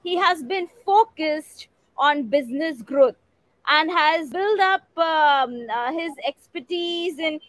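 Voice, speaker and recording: High-voiced woman hosting formally. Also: a bit bright, dry recording, poor audio. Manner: polite, formal, with fillers. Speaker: interview host. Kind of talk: formal interview introduction.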